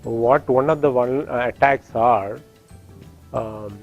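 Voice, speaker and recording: A man from India with an Indian accent, teaching a course and explaining technical points with frequent pauses and restarts in a classroom. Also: medium pitch, muffled recording, faint music behind. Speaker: course instructor. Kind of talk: classroom lecture.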